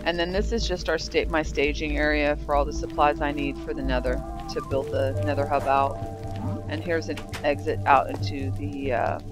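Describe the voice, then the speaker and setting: A woman's voice: low voice, fairly even intonation, moderate pace. A woman giving casual, rambling gameplay commentary while touring her builds, a little congested from sinus trouble. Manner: rambling, thinking aloud. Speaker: game server builder. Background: background music.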